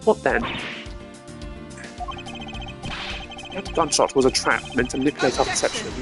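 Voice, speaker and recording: Self-assured male game prosecutor, as a streamer plays him: formal, deliberate, medium pitch, over music. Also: animated, natural voice. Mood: probing, confident.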